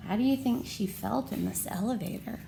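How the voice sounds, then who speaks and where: A woman reading a picture book aloud to children, with expressive, dramatic and deliberate phrasing. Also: on the high side, gravelly, noisy background. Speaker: storybook reader for children. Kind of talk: expressive picture-book read-aloud with questions to young listeners.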